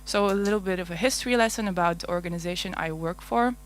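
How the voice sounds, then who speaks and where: A woman's voice: fairly low pitch, quick pace, slight background noise. Nervous Flemish woman presenting at a conference. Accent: Flemish Dutch. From Belgium. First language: Dutch. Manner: nervous, hesitant, many fillers, light laughs. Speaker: conference speaker. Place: large darkened auditorium.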